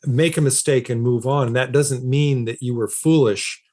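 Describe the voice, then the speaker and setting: A man's voice: medium pitch, fairly even intonation, moderate pace, darkish tone. A man speaking as an interview guest, explaining ideas conversationally and repeating phrases for emphasis. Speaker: interview guest, philosopher of science and author.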